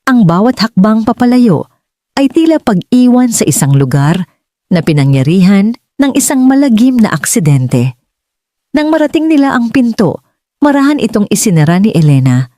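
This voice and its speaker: Mellow Filipino woman narrating a dramatic story. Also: low voice, dry recording, studio quality. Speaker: audiobook narrator.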